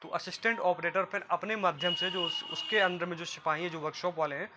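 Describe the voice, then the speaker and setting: A man's voice: high voice, fairly even intonation, quick pace, background noise. A man from gives an informal, repetitive explainer to viewers as a job guidance presenter. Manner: repetitive, explanatory, often addressing listeners as friends.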